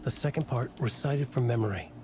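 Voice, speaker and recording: A man as a measured male narrator. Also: medium pitch, noisy background, natural voice. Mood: ominous. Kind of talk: scripted narration.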